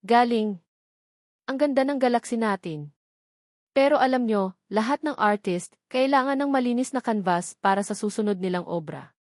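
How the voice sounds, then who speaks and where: A woman voicing a gentle, patient young female nanny in an audio drama, softly coaxing and playful. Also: fairly high pitch, moderate pace, dry recording, studio quality, close to an everyday voice. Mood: playful, encouraging. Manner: soft, coaxing.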